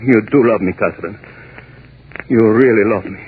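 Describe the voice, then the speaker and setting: An actor playing a dazed, ailing, suspicious lover in a 1940s radio drama, speaking in a halting, intense way. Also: male voice, fairly low pitch, natural voice. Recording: noisy, phone-like 1940s radio recording.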